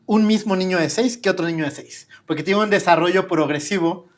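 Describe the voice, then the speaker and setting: A man's voice: high voice, a little fast, a little hiss or hum. A man hosting a podcast, explaining with enthusiasm. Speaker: podcast host. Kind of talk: casual interview chat.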